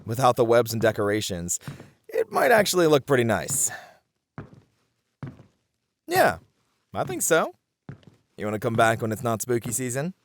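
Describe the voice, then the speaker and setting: Man acting a playful, protective boyfriend, very animated. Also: medium pitch, moderate pace, long pauses, slight background noise. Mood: relaxed, chatty. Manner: teasing, chuckling, conversational reassurance to one listener. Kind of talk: ASMR boyfriend roleplay acting.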